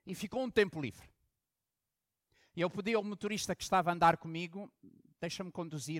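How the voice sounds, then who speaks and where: A man from Angola who is a pastor, preaching a sermon in the European manner, telling stories and swinging from loud emphasis to hushed asides. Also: a little high, dry recording, studio quality.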